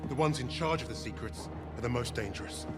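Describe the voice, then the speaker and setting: A man's voice: mid-range voice, a little fast, over loud music, lightly acted. A man playing a defiant, educated British army sergeant in a video game, firm and clipped, with a British accent. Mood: urgent command. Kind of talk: cinematic video game acting.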